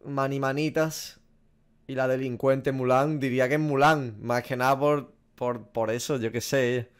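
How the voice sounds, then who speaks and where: Gaming streamer, male, twenties, Spain accent, mid-pitched, casual, sweary, reasoning out loud. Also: fairly even intonation, a little slow, clean tone. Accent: Spanish from Spain. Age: 20s. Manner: slangy, swearing, thinking aloud. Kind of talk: casual reaction commentary over a quiz. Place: small room at a desk, indoors.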